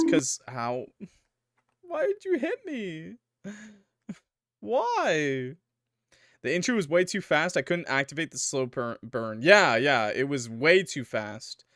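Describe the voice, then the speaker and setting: A young man giving casual, rambling let's-play commentary as a gaming YouTuber, chatty and quick to laugh, his voice a little worn. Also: a little high, sharp tone, no room sound, clean studio sound. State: losing his voice.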